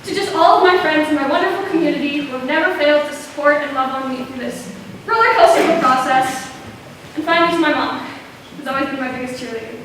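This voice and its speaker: A woman's voice: high voice, fast talker, fairly bright tone. A young woman giving an earnest, slightly hesitant and informal welcome speech as a playwright before a show. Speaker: playwright introducing own school play. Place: echoey performance space with seated audience. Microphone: distant video camera at the back. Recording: distant microphone, echoey noisy hall.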